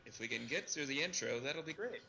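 A man's voice: on the high side, animated, brisk, creaky. A man speaking as a panelist in casual group podcast banter. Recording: faint background noise.

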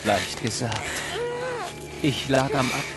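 A man playing a wistful narrator who tells his boyhood tale in measured, literary narration. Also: mid-range voice, close to an everyday voice. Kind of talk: scripted narration. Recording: loud music behind.

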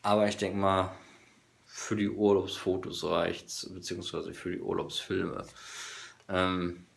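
A man in his thirties, hoarse, vlogging casually to a phone camera in a small room, halting with many fillers. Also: low-pitched, level tone. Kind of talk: casual vlog talk to camera. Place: small bedroom office indoors. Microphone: phone camera at arm's length.

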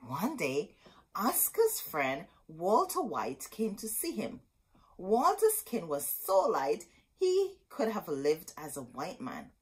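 A woman's voice: lowish voice, fairly bright tone, breathy edge. A middle-aged woman reading a children's picture book aloud in a dramatic, emphatic storytelling manner. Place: indoor room with bookshelves.

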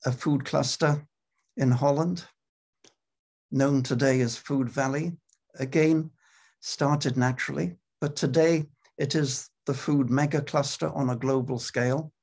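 Husky Welsh man presenting a webinar. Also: medium pitch, steady tone, darkish tone. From Wales. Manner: repeats words often, thoughtful and measured. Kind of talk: presenting a webinar on a video call. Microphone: video call.

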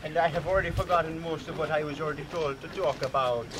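A flamboyant, self-important showman, as a man puts him on speaks in a put-on Jamaican accent. He stretches out grand, theatrical pronouncements in a raised voice. Loud open-air noise fills the background. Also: on the high side, steady tone, close to an everyday voice. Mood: boastful. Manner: grand, theatrical, drawn-out declarations. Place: outdoor beach stage at night.

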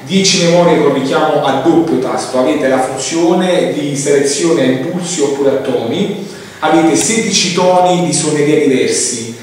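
A man's voice: fairly high pitch, moderate pace, darkish tone. Male shop demonstrator, unscripted and hesitant, recorded away from the mic in a reverberant room. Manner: hesitant, repetitive explaining with frequent verbal tics. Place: indoor room, demonstrating at a tabletop. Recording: echoey room, distant mic, faint noise.

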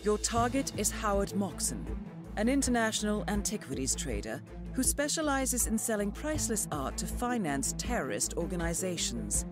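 A woman voicing a calm female agency handler who briefs an assassin on his target in a formal, measured way. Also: medium pitch, lightly acted. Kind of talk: game mission briefing. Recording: game audio with music underneath. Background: music.

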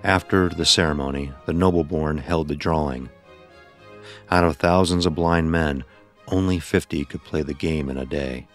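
Blind son, very deep bass, solemn horror narration, fearful and somber, music underneath. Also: male voice. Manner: solemn, literary. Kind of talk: scripted first-person horror narration. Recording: voice over background music.

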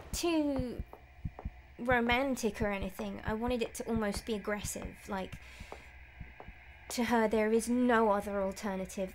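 A woman's voice: mid-range voice, a little hiss or hum, low-quality recording. A middle-aged woman giving casual, nervous, rambling commentary on a horror game, sometimes dropping to a whisper. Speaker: game streamer and voice actress. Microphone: desk mic set slightly away from face.

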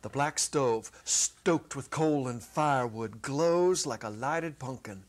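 A middle-aged man giving a dramatic literary reading, deliberate and theatrical, drawing out his words. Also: mid-range voice. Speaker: story reader. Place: indoor set with holiday decor. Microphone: clip-on lapel mic.

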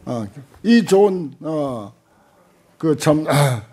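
Husky older Korean monk lecturing. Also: male voice, on the high side, lively intonation, a little mellow. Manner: halting, conversational, with frequent pauses and short interjections. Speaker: Buddhist monk giving a lecture. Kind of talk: informal dharma lecture with commentary on a text. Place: lecture room at a temple center, seated at a desk. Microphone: desk gooseneck microphones close in front.

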